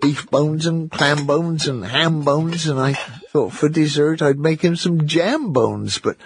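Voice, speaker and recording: Storybook role: a man doing an anxious doting mum, high, fluttery, slightly husky. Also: takes it slowly, heavily acted. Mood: fretting. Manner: fluttery, sing-song, anxious. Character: fussing doting mother, middle-aged woman.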